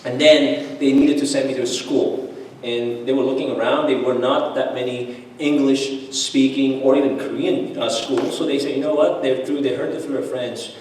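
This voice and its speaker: A man tells a personal story at a church seminar, speaking with lively restarts and filler words. His voice carries a long, booming reverb that fills the room. He sounds distant from the microphone, with faint room ambience behind him. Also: a little hiss or hum. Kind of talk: personal testimony talk. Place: church hall. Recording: echoey hall, far from the mic.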